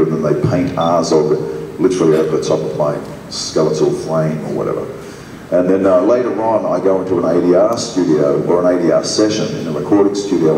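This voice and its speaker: A man's voice: low-pitched, dark tone, a little hiss or hum. A man telling a rambling, laughing anecdote on a convention panel. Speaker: actor on a panel. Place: large convention hall. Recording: echoey hall from far off.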